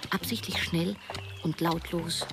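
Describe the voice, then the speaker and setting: A woman's voice: fairly low pitch, fairly even intonation, slow. An actress playing a torn but stubborn woman writer in her thirties, narrating a German radio play. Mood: determined. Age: 30s. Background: music.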